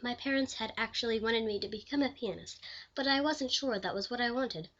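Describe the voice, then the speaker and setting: A woman playing an elderly, proud pioneering lawyer and commissioner in a scripted interview, speaking formally and deliberately. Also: a little high, a bit bright, lo-fi sound. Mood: reflective, proud. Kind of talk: scripted mock interview, reenacted historical figure.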